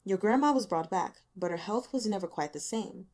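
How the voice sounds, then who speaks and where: A woman voicing a calm, blunt male investigator in a novel, measured and pressing. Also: mid-range voice, lightly acted. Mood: probing.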